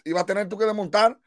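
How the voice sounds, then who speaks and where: Guy breaking down baseball, high voice, dry mic, playful and preachy. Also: dry recording. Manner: emphatic, playful, lecturing. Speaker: baseball analyst. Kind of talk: emphatic sports panel talk.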